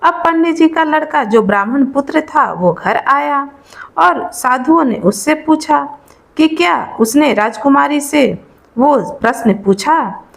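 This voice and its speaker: A woman's voice: sits high, lively intonation, unhurried, vocal fry. A woman narrating a devotional folk tale in an informal oral storytelling style, explaining and rephrasing as she goes.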